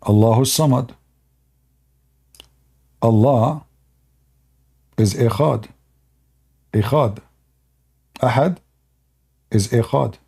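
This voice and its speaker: A man with an Arabic accent explains at length on a live stream, circling back and repeating his points. His voice is husky with a gravelly edge and a dark, mellow tone. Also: medium pitch, very slow, long pauses. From Middle East. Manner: unscripted explaining, with fillers and repetition.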